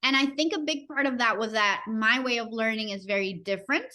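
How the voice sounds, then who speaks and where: A woman's voice: fairly high pitch, fairly quick, sharp tone. A woman in her thirties from Costa Rica and Nicaragua, a podcast guest telling her story with frequent fillers. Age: 30s. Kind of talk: interview conversation.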